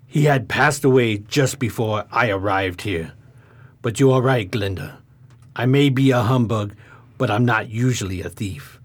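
A man's voice: mid-range voice, a little slow, natural voice. A man playing a kindly old humbug of a wizard in a radio drama, with a formal, wordy, theatrical manner. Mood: contrite. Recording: noisy radio drama recording.

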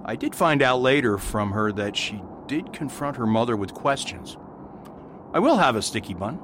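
Radio play priest , male actor: mild, mannerly, persistent, animated melody in a mid-range voice, faint rumble behind. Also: moderate pace, close to an everyday voice. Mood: gentle. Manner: courteous, formal. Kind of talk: amateur radio play acting.

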